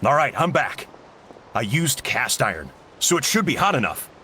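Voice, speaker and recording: A man's voice: mid-range voice, sing-song, pushed and bright, slight background noise. A man voicing an eager, helpful young villager in a video game. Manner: eager, quick.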